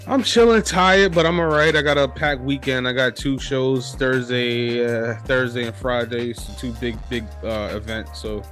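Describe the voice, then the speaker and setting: Relaxed man hosting a podcast chat. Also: mid-range voice, normal speed, few pauses, soft background music. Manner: loose, restarts often, lots of "you know". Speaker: podcast host. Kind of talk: casual interview chat.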